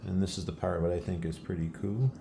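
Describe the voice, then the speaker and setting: A man's voice: sits low, steady tone, fast talker, creaky. A man giving a casual, unscripted narrated demonstration as a radio hobbyist host, explaining things informally. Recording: loud shortwave radio static and noise. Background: shortwave radio broadcasts and static.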